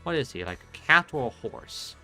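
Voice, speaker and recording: Male let's-play host: forward, bright tone, rambling casual delivery, faint game music underneath. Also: mid-range voice, very animated, normal speed. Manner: rambling, hesitant thinking aloud. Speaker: game streamer. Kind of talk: casual let's play commentary, reading dialogue aloud.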